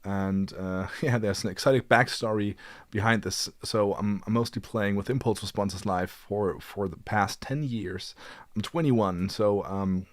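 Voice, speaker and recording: A man's voice: fairly low pitch, measured pace, no room sound. A man in his late twenties talking casually as a livestream host, in a hesitant, rambling way with repeated words. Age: late 20s.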